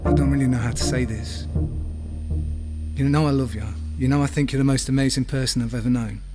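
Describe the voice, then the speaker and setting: A man's voice: medium pitch, steady tone, a little fast, over loud music, natural voice. A man playing a troubled boyfriend in an audio drama, speaking earnestly and hesitantly. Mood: hesitant, grave. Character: troubled boyfriend hesitantly breaking up with his lover.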